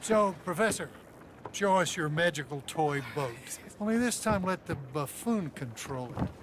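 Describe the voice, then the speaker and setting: An actor playing a doubting, wealthy gentleman in a game cutscene, speaking in a formal, condescending way. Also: a little high, animated, measured pace. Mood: haughty, suspicious. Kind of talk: video game cutscene acting. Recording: clear background noise.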